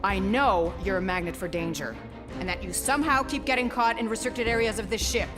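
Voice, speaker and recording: A woman's voice: mid-range voice, fairly quick, close to an everyday voice. An actress playing a stern, exasperated mother who commands a spaceship in a video game, speaking firmly and in clipped orders. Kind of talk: cinematic video game acting. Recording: long hall reverb, music behind.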